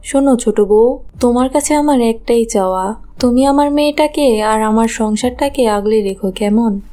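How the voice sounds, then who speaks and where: A woman voicing a gentle, ailing wife and protective mother in a fairy-tale cartoon, speaking earnestly. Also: on the high side, normal speed, lightly acted.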